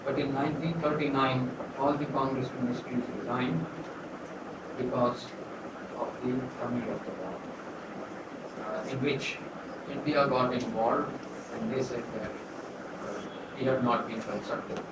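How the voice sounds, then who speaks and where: A man's voice: mid-range voice, fairly even intonation. Older man lecturing in an echoey hall. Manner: measured, unhurried, with frequent pauses and uh fillers. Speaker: history lecturer. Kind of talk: university lecture. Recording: echoey hall, far from mic, loud background noise.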